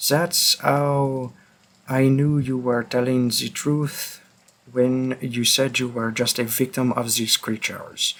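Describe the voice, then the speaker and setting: A man voicing a centuries-old vampire who hunts his own kind, grim and deliberate, in a scripted roleplay monologue addressed to the listener. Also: medium pitch, fairly bright tone. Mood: wary, threatening. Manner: slow, deliberate, pausing, darkly confiding.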